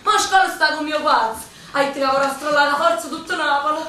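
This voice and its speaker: A woman's voice: sits high, fairly quick, pushed and bright. An actress playing a bold, defiant young Neapolitan woman in a radio drama, teasing and headstrong. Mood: urgent. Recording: echoey, distant, faint noise.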